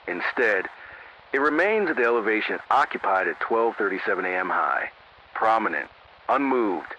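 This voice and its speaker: Man acting as sober AM emergency announcer: low, forceful, formal and measured, analog horror radio drama. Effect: AM radio filter. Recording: narrow-band AM radio with static noise.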